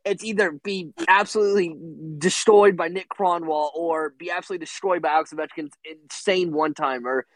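A man's voice: on the high side, fairly even intonation, a little fast, no echo, low-quality recording. A man co-hosting a casual podcast chat, rambling and laughing as he talks, stacking up "I mean". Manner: rambling, laughs while talking, repeats "I mean". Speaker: podcast co-host.

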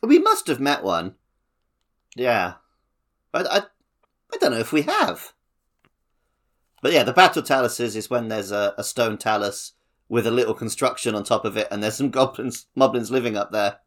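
A man's voice: mid-range voice, very animated, normal speed, long pauses, fairly bright tone. A man co-hosting a gaming podcast, talking casually about a video game. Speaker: podcast co-host.

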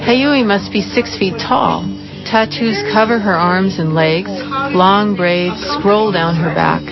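A woman giving reflective, measured scripted narration for a radio documentary. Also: medium pitch, gravelly. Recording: muffled broadcast with music behind.

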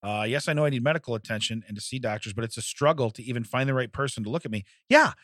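A man's voice: medium pitch, racing, a bit bright, no room sound, clean studio sound. A man in his forties co-hosting a comedy podcast, chatting casually and reading out odd news with rambling, jokey asides. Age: 40s.

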